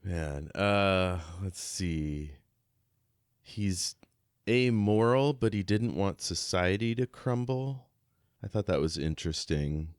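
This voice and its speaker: A man's voice: sits low, lively intonation, takes it slowly, clear, resonant tone, no echo, clean studio sound. A man co-hosting a podcast, musing casually about a book as he works through his notes and poses questions. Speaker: podcast co-host.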